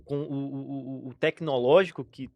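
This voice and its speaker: A man's voice: mid-range voice, fairly even intonation, moderate pace, darkish tone, dry recording. A young Brazilian man co-hosting a music podcast, laid-back and slangy as he talks about songwriting. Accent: Brazilian Portuguese. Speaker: podcast co-host, singer and lyricist. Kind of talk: casual podcast chat.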